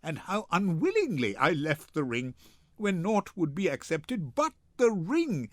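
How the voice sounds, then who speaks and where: Man acting an earnest, pleading nobleman, lower-voiced. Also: wildly swooping pitch, no echo. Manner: solemn, measured pleading. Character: earnest, apologetic nobleman husband pleading his honour.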